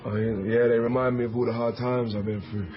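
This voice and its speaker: An actor playing a flashy, cocky small-time drug dealer, brash and swaggering, in a radio drama. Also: male voice, medium pitch, little pitch movement, fast talker, close to an everyday voice. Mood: defensive. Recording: dull audio under loud music.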